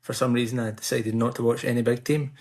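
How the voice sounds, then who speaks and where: Male podcast guest, level and even-toned, steady explainer at a middling pitch. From United Kingdom. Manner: measured, explanatory answers. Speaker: podcast guest, Swiss football commentator. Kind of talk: casual podcast interview.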